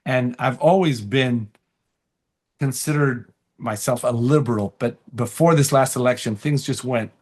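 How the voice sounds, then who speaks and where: A man's voice: medium pitch, a little slow, darkish tone. A man chatting casually as a comedian guest in an interview, telling stories and slipping into quoted voices. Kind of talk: casual interview chat with anecdotes.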